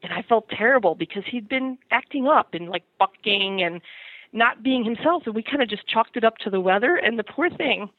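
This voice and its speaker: A middle-aged woman chatting casually and telling personal anecdotes in an animated, self-deprecating way. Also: a little high, a little fast, dry recording. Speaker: podcast segment guest on horse parenting. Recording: thin phone-like line.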